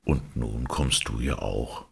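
Man as a very deep, gentle old man. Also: slow, creaky, breathy edge, heavily acted. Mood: wistful. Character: gentle, wistful old man living alone.

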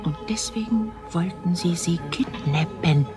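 An actress playing a keen, gossipy newsroom journalist in a radio drama, confiding and colloquial. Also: female voice, lowish voice, measured pace, music in the background, close to an everyday voice. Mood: urgent, confiding.

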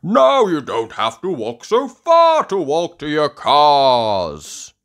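A man voicing a jolly, boisterous old grandfather rabbit in a children's cartoon storybook, with hearty drawn-out exclamations. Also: wildly swooping pitch, a bit bright, heavily acted. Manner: hearty, booming, drawn-out exclamations, breaks into song.

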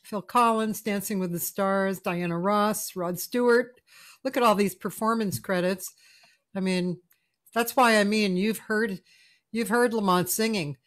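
A woman's voice: medium pitch, fairly even intonation, a little slow. Older lady host on a zoom call, gushing a bit, trailing off and restarting, home studio mic. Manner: warm, effusive, searching for words. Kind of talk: casual video-call interview. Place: home music room, indoors. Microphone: studio mic on a video call.

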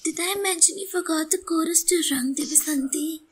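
A woman's voice: extremely high pitch, brisk, crowd noise. A woman playing a sly, needling ghost in a radio play, making snide asides.

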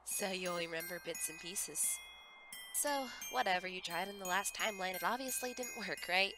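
A woman's voice: fairly low pitch, brisk, over music, natural voice. A woman voicing a smug, murderous child with a mocking, gloating manner in a comic dub. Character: taunting murderous child, smug, cruel and knowing.

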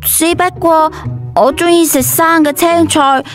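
A man doing a high, timid rabbit-spirit girl. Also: fairly even intonation, unhurried, soft background music. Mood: timid, quiet. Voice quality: thin, small, soft. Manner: timid, hesitant.

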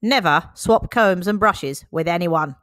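A woman reading the lines of a brisk, no-nonsense male dog doctor in a picture book, firm and explanatory. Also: fairly low pitch, a bit bright, no room sound, studio quality. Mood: lecturing.

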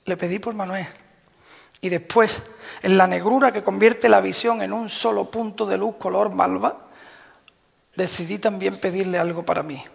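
Man, gravelly, solemn declamatory verse prayer in a big echoing hall. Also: high-pitched, fairly even intonation, moderate pace. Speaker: Holy Week proclaimer. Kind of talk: recited devotional verse proclamation. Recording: long hall reverb.

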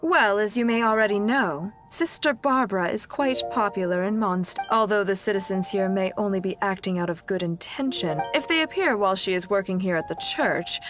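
A woman voicing a kindly, composed, protective nun in an anime game, with a gentle, measured, formal manner. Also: medium pitch, over music, lightly acted. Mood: gentle, concerned.

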